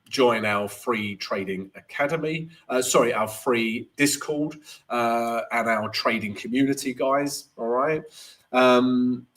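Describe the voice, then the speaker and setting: A man talking through charts on a live stream, his voice stuffy and nasal from a cold. He is chatty and casual, calling his viewers guys and tagging his points with a quick "right?". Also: mid-range voice, fairly bright tone. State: congested, stuffy nose. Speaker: trading livestream host.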